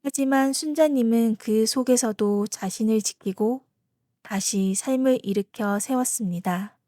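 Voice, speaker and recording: A woman's voice: fairly high pitch, measured pace, warm tone, dry recording, studio quality. A woman presenter giving scripted closing commentary addressed to viewers.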